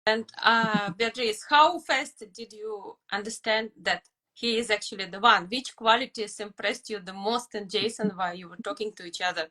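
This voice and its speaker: A woman's voice: medium pitch, normal speed, sharp tone, rough recording. A woman hosting an interview who asks her guests questions in a polite, structured way. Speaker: interview host. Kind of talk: hosted interview.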